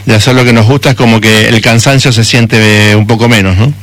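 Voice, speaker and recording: A man's voice: on the low side, fairly even intonation, fairly quick, a bit bright, no echo. A man with an Argentine accent interviewing on the radio in a conversational, rambling and reflective way.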